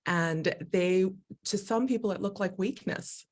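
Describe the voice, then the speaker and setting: A woman speaking as a guest on a live video call, telling her own story in an animated, expressive way and often laughing as she talks. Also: medium pitch, unhurried. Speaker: guest, Human Design educator. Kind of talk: candid personal conversation.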